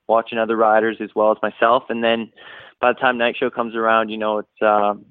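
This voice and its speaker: A man's voice: fairly low pitch, brisk, clean tone, no room sound. Canadian man on a tinny phone line. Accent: Canadian, slightly worn toward American. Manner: relaxed, many fillers like uh and you know. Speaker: guest, pro motocross racer. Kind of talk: phone interview.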